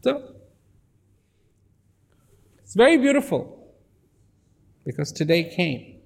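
Guy giving a slow talk to a crowd, voice swoops up and down a lot, says things twice. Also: high voice, big pitch swings, creaky. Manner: repetitive, emphatic, drops to near whispers. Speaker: speaker. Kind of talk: slow reflective talk to an audience.

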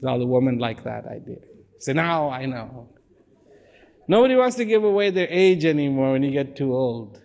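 A man's voice: mid-range voice, big pitch swings, moderate pace, creaky, a little mellow, lo-fi sound. A man giving a reflective talk to an audience, repeating phrases for emphasis and dropping at times to a near whisper. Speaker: speaker.

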